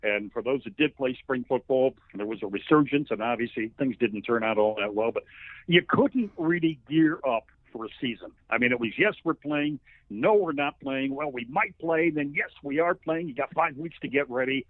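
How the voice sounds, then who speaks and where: A man's voice: medium pitch, moderate pace, dry recording. A man in his sixties, a radio sports announcer, talking conversationally as an interview guest in a wordy, folksy storytelling way. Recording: narrow phone-like line.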